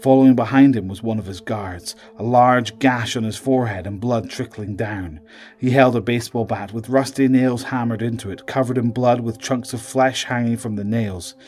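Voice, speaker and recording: A man's voice: medium pitch, moderate pace, a little mellow. A man giving scripted, dramatic narration for a story.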